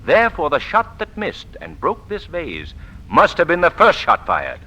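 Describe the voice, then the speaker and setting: Self-assured male avenger, incisive and very sharp, dramatic rises and falls, declamatory, old-time thriller, faint recording noise. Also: a little high, huge pitch swings, moderate pace, heavily acted. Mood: commanding. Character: commanding, self-assured gentleman sleuth and mysterious avenger. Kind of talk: 1940s radio acting.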